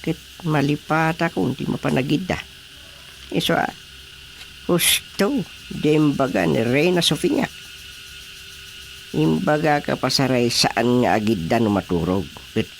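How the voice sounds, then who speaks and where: A man's voice: medium pitch, lively intonation, measured pace, vocal fry, pauses often, slight background noise. An actor with an Ilocano accent playing a fretful frog king in a radio drama comedy, hesitant and full of questions. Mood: grumbling.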